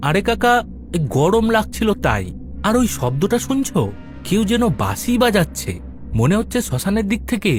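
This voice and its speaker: A man playing a curious, stubborn young city visitor in an animated story, questioning everything persistently. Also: high-pitched, normal speed. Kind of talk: animated audio story dialogue. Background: music.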